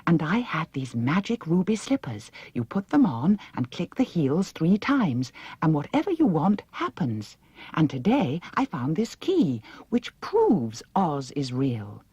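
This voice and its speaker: A woman's voice: low-pitched, wildly swooping pitch, unhurried, darkish tone, slightly rough, no echo, pitched above the performer's own voice, heavily acted. A woman voicing an anxious, earnest young farm girl in a storybook, pleading and wide-eyed. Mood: earnest, excited.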